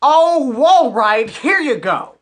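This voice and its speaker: A woman's voice: sits high, sing-song, slow, background noise, heavily acted. A woman playing a cheap, vain, exasperated male comedian hosting an old-time radio comedy, with a hesitant, put-upon manner. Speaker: master of ceremonies.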